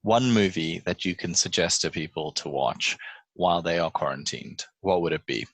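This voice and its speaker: A man's voice: low-pitched, moderate pace, fairly bright tone, no room sound. A man hosting a podcast in casual banter, teasing and sarcastic with his guests. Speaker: podcast host.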